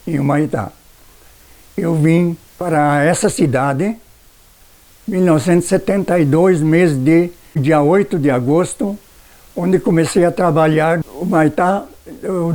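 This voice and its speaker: A man in his eighties with a southern Brazilian accent, a retired teacher recalling his life in a halting, deliberate way for a documentary. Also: a little high, dark tone. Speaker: retired schoolteacher. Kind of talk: personal recollection for a documentary.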